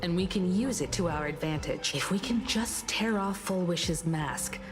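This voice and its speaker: A woman's voice: fairly low pitch, expressive, normal speed, natural voice. A woman playing a composed, confident veteran heroine and mentor with formal, poised speech in an anime game. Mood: determined. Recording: loud music behind.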